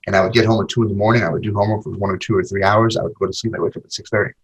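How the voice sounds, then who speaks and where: A man's voice: on the low side, flat delivery, racing, slightly rough, low-quality recording. A man in his forties, a podcast guest, talking casually about his past with lots of fillers and repeated words. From Argentina. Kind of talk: casual interview conversation.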